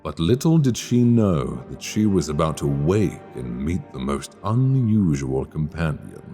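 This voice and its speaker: A man narrating a dark fantasy tale in a measured, portentous storybook manner. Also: a bass voice, very animated, in a large hall, soft background music.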